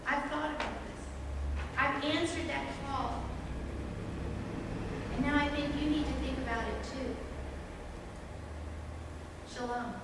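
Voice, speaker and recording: A high-voiced, devout expectant mother from Bible times acted by a woman delivers an earnest monologue. She speaks slowly, with emphatic pauses. The sound rings in a large echoing church, with noise behind it. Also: steady tone. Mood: earnest, urging. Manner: deliberate, emphatic, with long pauses. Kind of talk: dramatic first-person church monologue. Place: church sanctuary. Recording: echoey church hall, distant mic, background noise.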